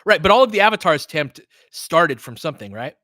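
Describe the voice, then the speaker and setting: A man's voice: fairly high pitch, big pitch swings, a little fast, sharp tone, no room sound, studio quality. An American man calling basketball play-by-play in an informal, conversational, opinionated way, mixed with casual fan chat. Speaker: play-by-play host of a fan stream.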